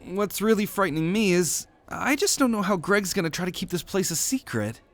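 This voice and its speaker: Man voicing an earnest, higher-pitched young demigod student. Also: lively intonation, fairly quick, a bit bright, no echo. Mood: reassuring. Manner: hesitant, earnest, reassuring. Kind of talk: ASMR roleplay audio drama.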